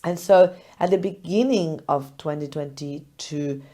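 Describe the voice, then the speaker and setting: A hoarse woman with a crackly, very low voice talks to her listeners in a loose, expressive monologue. Her tone is dark and mellow, and she laughs often between thoughts. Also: measured pace. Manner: chatty and expressive, laughs often, animated retellings. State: hoarse, recovering lost voice. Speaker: business podcast host. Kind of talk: casual solo podcast talk.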